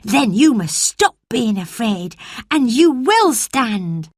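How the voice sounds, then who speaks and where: A cheerful, encouraging young boy, as a woman reading the story puts him on. His voice sits above her own and stays bright. Slow storybook pace, dry sound. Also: on the high side, sing-song, no echo, voice pushed up, full character voice.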